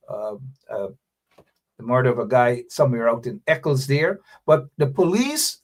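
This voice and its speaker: A man hosting a livestream show, chatting casually and rambling with frequent asides. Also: on the high side. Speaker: host.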